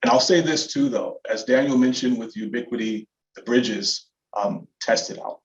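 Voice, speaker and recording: A man's voice: mid-range voice, normal speed, dull audio. A man giving casual, enthusiastic and emphatic product talk as a company representative on a webinar.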